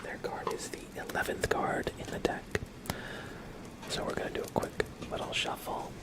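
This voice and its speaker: A young man hosting a relaxed ASMR livestream, mostly whispering and speaking softly in a reassuring, encouraging way. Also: moderate pace. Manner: soft-spoken, mostly whispering. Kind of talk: relaxed ASMR, chatting with viewers and reading tarot cards.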